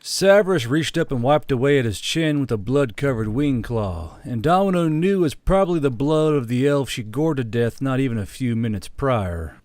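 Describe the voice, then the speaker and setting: A man's voice: medium pitch, lively intonation, normal speed, hardly any pauses, a little mellow, no room sound. A man reading a scripted fantasy war story as an audiobook narrator, close on the microphone.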